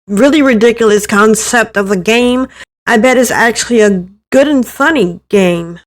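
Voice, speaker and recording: A woman narrating a first-person story expressively, acting out the thoughts as she reads. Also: medium pitch. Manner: acts out the narrator's thoughts, with stumbles in reading. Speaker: narrator reading a visual novel aloud.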